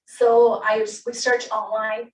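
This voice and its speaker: Distant echoey woman speaking haltingly. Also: a little high, level tone. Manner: halting, many restarts and self-corrections. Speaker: panelist, medical interpreter. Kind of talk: personal account at a panel. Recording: echoey room, distant mic, faint noise.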